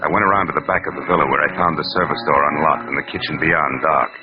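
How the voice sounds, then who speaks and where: Deep-voiced hardboiled private eye. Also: male voice, fast talker. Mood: tense narration. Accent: American. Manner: wry, terse, tough-talking. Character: hardboiled, cynical male private detective. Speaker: narrator and lead actor. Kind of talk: 1940s-50s radio noir narration and acting. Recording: muffled vintage radio recording with music.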